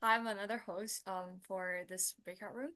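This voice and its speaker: A young woman hosting a breakout room, asking questions haltingly with many fillers. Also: medium pitch, steady tone, sharp tone. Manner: halting, lots of likes and ums. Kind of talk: moderating a panel.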